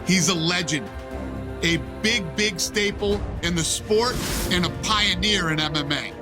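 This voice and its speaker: A man's voice: high voice, measured pace. A man giving an unscripted, conversational testimonial as an interviewee. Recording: music under a soundbite. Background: music.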